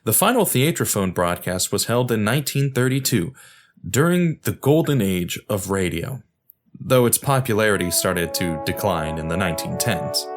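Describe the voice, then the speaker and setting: A man narrates a scripted documentary podcast as its host. His pitch is animated as he explains things directly to the listener. Music plays softly behind his voice. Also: normal speed.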